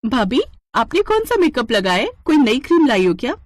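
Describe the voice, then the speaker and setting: A woman's voice: high voice, sing-song, brisk, no echo, studio quality, voice pushed up. A woman voicing a polite, deferential young sister-in-law in a storybook tale. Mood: asking politely.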